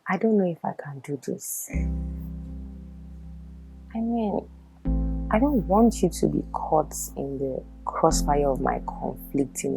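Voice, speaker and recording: Weary guarded woman, kinda low voice, serious drama, bit of music under it. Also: vocal fry, a little mellow, low-quality recording. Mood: hesitant, sad. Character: troubled, guarded woman weary of her emotions. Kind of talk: earnest film drama acting.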